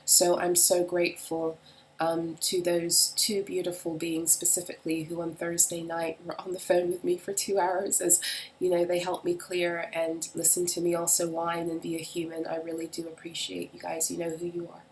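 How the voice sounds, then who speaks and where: Woman with a head cold, raspy and kinda low, flat delivery, lots of ums, faint hum in the room. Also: poor audio. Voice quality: raspy, deeper than usual. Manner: halting, with frequent ums and restarts. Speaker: tarot and astrology reader. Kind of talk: casual solo video monologue. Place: at home in the UK.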